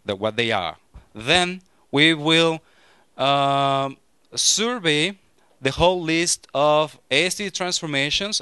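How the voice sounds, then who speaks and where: A man in his thirties from Mexico with a Mexican Spanish accent, giving a lively, joking technical talk to a conference audience through a handheld microphone. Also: mid-range voice, clear, resonant tone, no room sound, studio quality. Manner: lively, joking, frequent restarts. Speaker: conference speaker.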